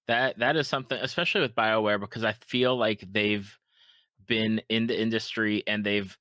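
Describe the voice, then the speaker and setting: A man with a low voice hosts a casual podcast chat. He speaks haltingly, often doubling back on his words, in a dry recording. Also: steady tone, bright, forceful tone, dry recording. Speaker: podcast host. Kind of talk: casual chat about video games.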